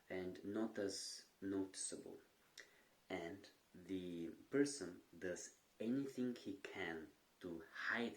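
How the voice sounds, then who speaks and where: Young guy w/ a deep voice talking slow and calm, earnest advice, little stutters now and then. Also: low-quality recording. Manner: calm, measured, earnest, occasional brief stutters. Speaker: stuttering coach. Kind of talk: talking-head advice vlog.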